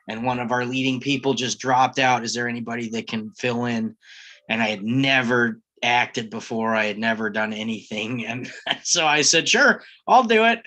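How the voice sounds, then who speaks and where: A man's voice: mid-range voice, pushed and bright. Upbeat rambling man on a call. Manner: rambling and upbeat, with laughs and fillers. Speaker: cast member of a live table read podcast. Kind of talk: casual storytelling on a video call.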